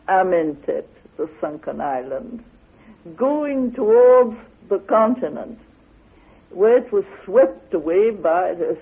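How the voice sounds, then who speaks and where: A woman tells of an expedition at a slow, halting pace, leaning on her words. Her high voice has a husky grain. It comes through a thin, band-limited old radio recording. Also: phone-quality sound. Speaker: explorer and theorist recounting her expedition. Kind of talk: spoken anecdotal account of an expedition.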